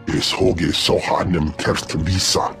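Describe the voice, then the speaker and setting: A man's voice: steady tone, a bit bright, soft background music, heavily acted. An actor playing an alien male captive who speaks only in an alien tongue, in a video game cutscene. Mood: pleading. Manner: deep, slow, gravelly alien speech.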